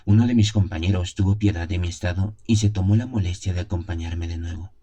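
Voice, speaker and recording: A man's voice: low voice, fast, warm tone. A man narrating a gothic tale in the first person as a scripted audio drama, solemn and theatrical in his storytelling. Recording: music behind the voice. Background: music.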